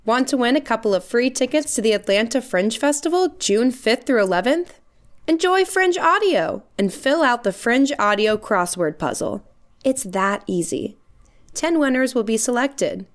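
A woman's voice: a little high, expressive, normal speed. A woman reading a scripted promo and show introduction as a podcast network announcer. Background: music.

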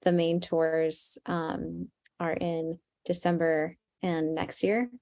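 Soft-spoken woman musician, low voice. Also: flat delivery, slow pace, no echo. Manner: soft-spoken, thoughtful, many fillers. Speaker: musician guest. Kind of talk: casual interview.

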